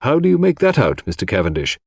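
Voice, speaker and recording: A man voicing a brisk, formal coroner who questions witnesses with official courtesy in an audiobook. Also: mid-range voice, huge pitch swings, creaky, darkish tone, husky, no echo, clean studio sound, full character voice. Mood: brisk, courteous. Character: brisk, formal, courteous male coroner presiding over inquest.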